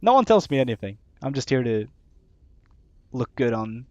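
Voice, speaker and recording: A man's voice: medium pitch, big pitch swings, normal speed, dark tone, dry recording. A man casting a live game stream, thinking aloud, laughing often and trailing off as he works things out. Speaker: caster. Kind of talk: casual live-stream commentary. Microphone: headset or desk mic, not on camera. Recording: dull lo-fi sound.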